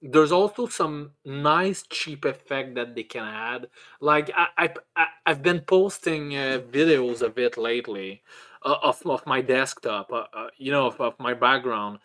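Halting, stammering man chatting casually. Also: medium pitch, bright, forceful tone. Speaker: podcast host. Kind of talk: casual chat.

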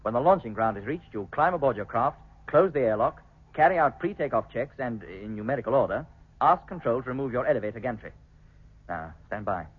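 British space captain, 1950s radio serial, clipped orders, rapid delivery, tinny old recording. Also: male voice, medium pitch, lively intonation, husky. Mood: brisk command. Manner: clipped, authoritative, formal. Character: brisk, commanding male spaceship captain giving orders. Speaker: actor in a radio science fiction serial.

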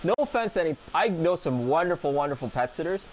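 A man's voice: a little high, expressive, fast, low-quality recording. Casting: man with a cold, enthusiastic chatty radio host, faint hiss behind. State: has a cold. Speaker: veterinarian and radio show host. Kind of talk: radio interview hosting.

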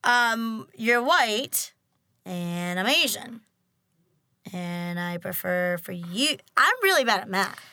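A young woman in her twenties with a raspy voice, hosting a casual chat and teasing her guest bluntly. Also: mid-range voice, expressive, pushed and bright, no room sound, studio quality. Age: 20s. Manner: teasing, blunt, quick to joke. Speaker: podcast host.

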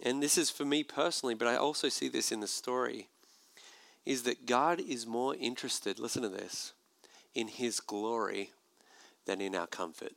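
Man, pastor, conversational sermon, gravelly, dry room. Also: medium pitch, moderate pace, no room sound. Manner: conversational, animated preaching with asides.

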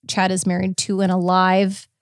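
A woman's voice: fairly low pitch, completely flat delivery, moderate pace, no room sound, studio quality. A woman podcast host casually recapping a story in a chatty, informal way, with quoted asides. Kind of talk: casual conversational recap of a court case.

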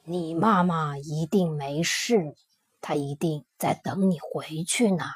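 A woman voicing a big, gruff male tyrannosaurus in a children's storybook, slow and low with a husky growl. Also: expressive, no echo, clean studio sound, full character voice.

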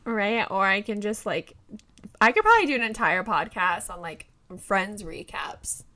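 Croaky-voiced giggly young woman. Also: mid-range voice, animated, moderate pace, sharp tone. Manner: giggly, self-mocking, dramatic. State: croaky from a cold-like voice. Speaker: podcast co-host. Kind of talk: casual chat.

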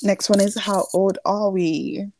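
A woman's voice: mid-range voice, steady tone, darkish tone, a little hiss or hum. A young woman in her early twenties, from Nigeria, co-hosting a casual podcast chat with a playful, joking manner. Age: early 20s. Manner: playful, joking, quick asides. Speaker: podcast co-host.

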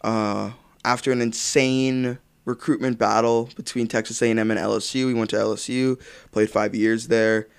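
A man's voice: medium pitch, normal speed, gravelly, smooth, dry recording. Casual young man co-hosting a podcast. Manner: animated, loose, swears casually. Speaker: podcast co-host.

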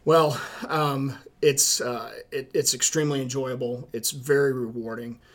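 A middle-aged man with a Southern accent from Charleston, a high school principal answering interview questions in a measured way, with many ums and uhs. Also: steady tone. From South Carolina, USA. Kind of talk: podcast interview.

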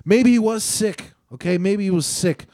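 A man's voice: high-pitched, normal speed, mellow, no room sound, clean studio sound. A man co-hosting a sports podcast, talking bluntly and swearing often. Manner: blunt, profane, rambling. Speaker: podcast co-host. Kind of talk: casual sports chat.

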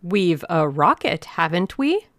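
A woman voicing a fierce, suspicious, frail little old lady in a storybook reading, with a forceful, demanding manner. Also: on the low side, big pitch swings, takes it slowly, no echo, clean studio sound. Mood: indignant, demanding.

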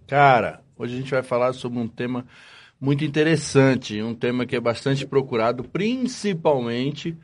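A man, a plastic surgeon co-hosting a podcast, explaining a procedure in a relaxed, casual way. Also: mid-range voice, normal speed. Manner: relaxed, explaining with fillers and restarts, swears jokingly.

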